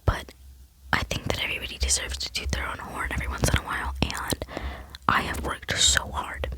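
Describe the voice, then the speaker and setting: Whispering teen girl, earnest and rambling. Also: fairly quick. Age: late teens. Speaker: ASMR vlogger. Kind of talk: whispered ASMR chat.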